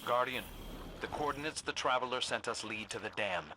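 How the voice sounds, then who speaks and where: Man playing a bright-edged, wry lone scout. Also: mid-range voice, brisk, slight background noise, lightly acted. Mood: wary, dry. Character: wry lone male scout, guarded but helpful. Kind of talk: cinematic video game dialogue.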